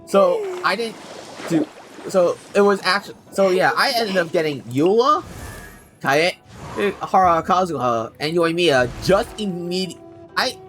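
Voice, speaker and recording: A man chatting casually to viewers on a game livestream, rambling and halting as he doubles back on his sentences. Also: sits high, lively intonation, a bit bright. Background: game music.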